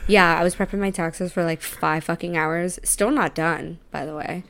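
A young woman co-hosting a podcast in casual chat from her apartment, chatty and quick to swear and laugh. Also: on the low side, fairly even intonation, creaky, fairly bright tone. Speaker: podcast co-host. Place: apartment living room.

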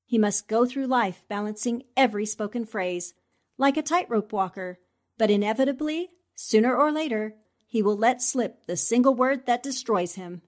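An actress playing a sharp, confident newspaperwoman sleuth in a 1940s-style radio drama, explaining in a deliberate, declamatory way. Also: a little high, lightly acted. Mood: grave, philosophical.

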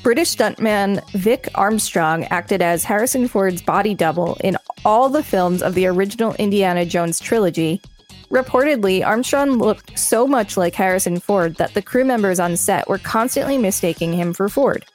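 A woman's voice: medium pitch, moderate pace, soft background music. A woman co-hosting a podcast, reading articles aloud and chatting casually between entries. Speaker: podcast co-host.

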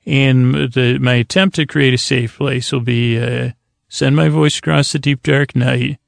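A man with a heavily creaky, gravelly voice hosts a sleep podcast in a dry, echo-free recording. His tone is lulling and soothing. He meanders through halting sentences, doubling back and leaving thoughts unfinished. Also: mid-range voice, steady tone. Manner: meandering, halting, repeats and trails off mid-sentence. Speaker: podcast host. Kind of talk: rambling bedtime monologue to help listeners sleep.

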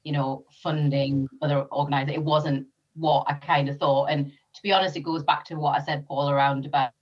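A woman's voice: deep voice, fairly even intonation, a little fast, slightly rough, lo-fi sound. A woman with a North East English accent, born in Sri Lanka, chatting as a podcast guest with many ums and easy laughs. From Sri Lanka, raised in North East England. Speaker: interview guest, former police officer. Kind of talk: casual interview chat.

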